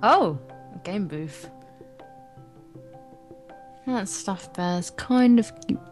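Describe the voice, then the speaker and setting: A woman's voice: mid-range voice, animated, very slow, darkish tone. A woman reading visual novel lines aloud in a casual let's play, with sarcastic, irreverent asides. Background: game music.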